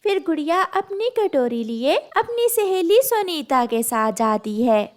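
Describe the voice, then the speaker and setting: Woman narrator, children's story, scripted, slow delivery. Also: very high-pitched, big pitch swings.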